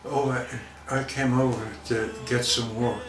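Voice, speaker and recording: A man's voice: medium pitch, steady tone, measured pace, over loud music. A man in his seventies with a Dublin accent, speaking plainly and matter-of-factly. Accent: Dublin Irish. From Dublin, Ireland. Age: 70s. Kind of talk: oral history recollection. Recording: roomy echo.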